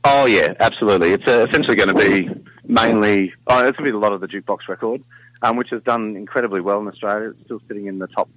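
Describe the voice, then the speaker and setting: A middle-aged man with a deep baritone talks in an easygoing, meandering way, often restarting his sentences. His voice is fairly low and comes across close and dry, with no room sound. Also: quick pace, no echo, rough recording. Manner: relaxed, rambling with many fillers and restarts. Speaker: singer in a vocal group, guest. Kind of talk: casual interview chat.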